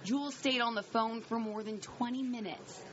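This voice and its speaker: American female news reporter, scripted feature narration, fairly high pitch, muffled recording with chatter behind. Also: steady tone, normal speed.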